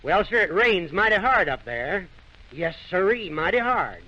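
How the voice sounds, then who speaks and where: An actor playing a folksy, rambling teller of tall tales, spun in earnest, in an old radio play. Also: male voice, high voice, sing-song, sharp tone, heavily acted. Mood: earnest yarn-spinning. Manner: folksy, drawn-out, earnest tall-tale delivery. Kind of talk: old-time radio comedy-drama acting. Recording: muffled old radio recording.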